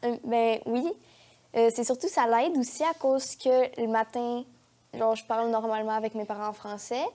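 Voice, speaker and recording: A woman's voice: a little high, steady tone, moderate pace, mellow. A teenage girl with a Quebec French accent chatting casually as an interview guest, with youthful, informal phrasing. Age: teens. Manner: youthful informal talk peppered with "genre" and "comme". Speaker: guest, young actress. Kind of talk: casual chat. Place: TV studio.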